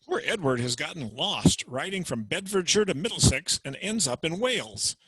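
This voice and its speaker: A man's voice: on the high side, big pitch swings, moderate pace, fairly bright tone, husky, poor audio. A man narrating plot summaries between scenes in a plain, matter-of-fact way, recorded at home over a video call. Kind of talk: plot summary for a dramatic reading.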